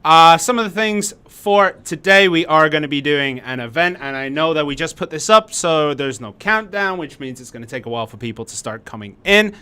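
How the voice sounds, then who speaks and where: Male game community host, 30s, relaxed and joking, bright and slightly high. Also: brisk, hardly any pauses, clear, resonant tone. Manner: laid-back, jokey, laughs often. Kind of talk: casual livestream co-hosting. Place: studio in Korea.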